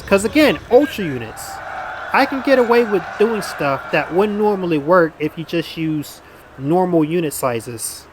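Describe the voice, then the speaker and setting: A man's voice: a little high, background noise. A man giving casual gameplay commentary as a YouTuber, blunt and rambling, with frequent restarts and some swearing. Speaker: gaming YouTuber.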